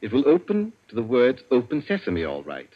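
A smug, husky captive inventor. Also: male voice, mid-range voice, wildly swooping pitch. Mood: sly. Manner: drawling, mocking, unhurried. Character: smug, urbane male inventor held captive. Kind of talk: 1940s radio acting. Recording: dull lo-fi vintage radio recording.

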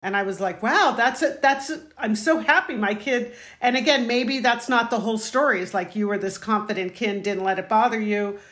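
A woman's voice: a little high, normal speed, hardly any pauses, a bit bright. A middle-aged woman, the host's mother, talking in an earnest, proud, rambling way. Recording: echoey room.

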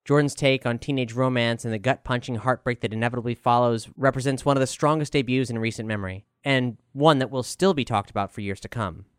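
Smooth, crisp man reading a scripted review. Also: medium pitch, fast, hardly any pauses, dry recording, studio quality. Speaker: audio review narrator. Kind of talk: scripted narration of a music review.

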